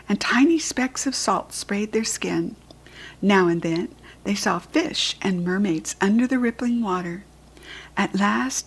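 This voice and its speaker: A woman with an American accent reading a children's book aloud in a clear, expressive voice. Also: medium pitch.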